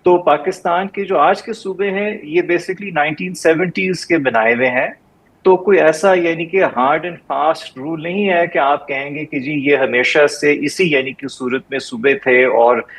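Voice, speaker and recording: A man's voice: on the high side, measured pace, a bit bright, poor audio. A man with a Pakistani Urdu accent, a historian guest explaining history steadily in a lecturing way, with "basically" and "uh" fillers. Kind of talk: explanatory studio interview answer.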